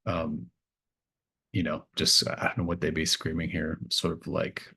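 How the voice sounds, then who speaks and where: A man narrating a tabletop roleplaying game as game master, improvising in a halting, conversational way. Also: very deep voice, flat delivery, vocal fry, sharp tone, breathy edge. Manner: halting, conversational, pieces scenes together aloud.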